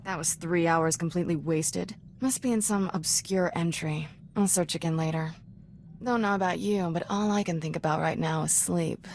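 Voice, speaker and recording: A woman's voice: fairly low pitch, moderate pace, darkish tone, a little hiss or hum, natural voice. A woman playing a bookish, flirtatious Jedi companion in a video game, with a casual, clipped, teasing delivery. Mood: tired, casual. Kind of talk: video game dialogue acting.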